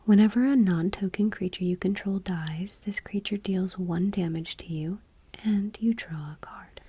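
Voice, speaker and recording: Soft, husky whispering woman, ASMR. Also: medium pitch, moderate pace. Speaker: host of an ASMR channel. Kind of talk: ASMR soft-spoken card reading.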